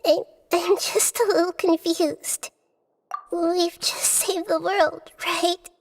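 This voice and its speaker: A woman voicing a small childlike goddess in a game cutscene, soft and girlish, halting and earnest in her grief. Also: very high-pitched, fairly even intonation, no room sound, studio quality, exaggerated voice. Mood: confused, sad. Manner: halting, pleading. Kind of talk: dramatic video game cutscene acting.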